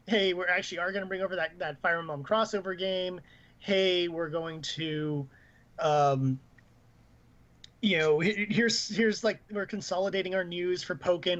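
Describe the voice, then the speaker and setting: A man with a notably high voice co-hosts a gaming stream. He talks casually and at length, rambling through his opinions, and the audio is slightly dull and lo-fi. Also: fairly bright tone. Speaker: co-host of a gaming livestream. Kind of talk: casual chat and commentary on games news.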